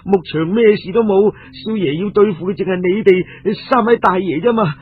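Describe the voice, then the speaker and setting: Male narrator as panicky traitor servant, Cantonese, high and raspy, stammering, tinny radio-story recording. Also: moderate pace. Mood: terrified pleading. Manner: stammering, pleading. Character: frightened male traitor servant, cowardly and pleading.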